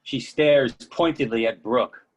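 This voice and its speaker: A man's voice: medium pitch, measured pace, a little hiss or hum. Guy narrating stage directions at a play reading, mid-range voice. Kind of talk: scripted narration.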